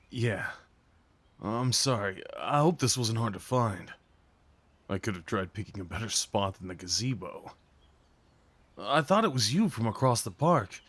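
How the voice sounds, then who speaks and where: A man's voice: fairly low pitch, animated, slow pace, pauses often, dry recording. A young man acting a shy, sweet, apologetic date in an ASMR roleplay, talking hesitantly to the listener. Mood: shy, nervous. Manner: hesitant, apologetic, rambling, sighs and nervous chuckles.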